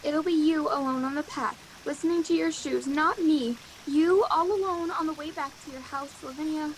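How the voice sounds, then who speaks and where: A woman's voice: sits high, moderate pace, slight background noise, low-quality recording. A woman playing a fretful, easily frightened young woman in a radio play, speaking anxiously and dramatically. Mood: fearful foreboding. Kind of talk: 1940s radio play acting.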